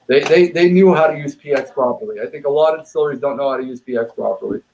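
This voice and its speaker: A man's voice: mid-range voice, fast talker, vocal fry, darkish tone, poor audio. A man from Quebec who reviews whisky, chatting as a guest on a live stream. He talks in an opinionated, emphatic way and repeats words when he gets going. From Quebec, Canada. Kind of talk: casual live-stream chat.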